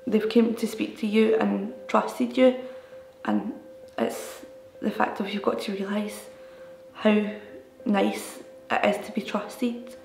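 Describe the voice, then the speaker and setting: A twenty-year-old woman speaking in a hesitant, halting way as an interviewee. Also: medium pitch, fairly even intonation, creaky. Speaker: young volunteer. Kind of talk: interview talk. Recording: roomy sound over soft music.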